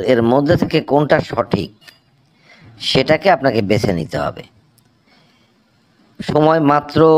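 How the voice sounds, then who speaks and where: ধীরলয়ের বাংলাদেশি পুরুষ কুইজ উপস্থাপক. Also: male voice, mid-range voice, darkish tone. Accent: Bangladeshi. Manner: formal, explanatory, deliberate. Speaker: quiz host. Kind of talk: scripted cartoon quiz presenting.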